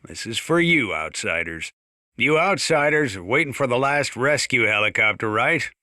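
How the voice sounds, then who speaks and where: Folksy old American sheriff, forceful bright voice. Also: male voice, mid-range voice, animated, no echo, studio quality. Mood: solemn, then grim, resigned. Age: older. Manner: folksy, plain-spoken, drawn-out hesitations. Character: folksy, gruff old small-town mountain sheriff. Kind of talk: in-game radio broadcast monologue.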